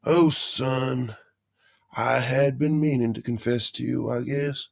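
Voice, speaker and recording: A man's voice: mid-range voice, steady tone, takes it slowly, creaky. A man playing a folksy older animatronic beaver, a cheerily macabre father putting on a hammy stage show in an audio drama. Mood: fondly confessional.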